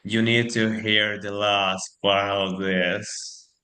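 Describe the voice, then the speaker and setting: A man narrating a scripted tale as a dramatic storyteller, with theatrical, halting phrasing. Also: on the low side, level tone, sharp tone. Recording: echoey room, faint music.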